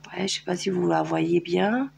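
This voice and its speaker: A woman's voice: fairly low pitch, normal speed, gravelly, a little mellow, a little hiss or hum. Chatty French woman reading tarot. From France. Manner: chatty, hesitant, many fillers. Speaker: tarot card reader. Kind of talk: casual tarot guidance video.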